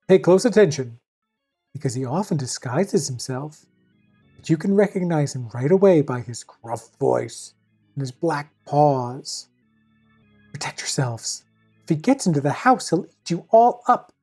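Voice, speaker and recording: A protective old nanny goat in a fairy-tale cottage, instructing her young with care in a low, mellow voice , read by a woman. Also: lively intonation, a little slow, husky, faint music behind, full character voice. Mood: cautioning. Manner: earnest, careful warning and instruction.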